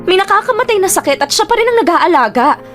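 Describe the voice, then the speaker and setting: A woman's voice: very high voice, sing-song, fast, fairly bright tone, soft background music, heavily acted. An actress playing a weary, conflicted young woman scammer who talks bluntly and defensively. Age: young adult. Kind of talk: radio drama acting.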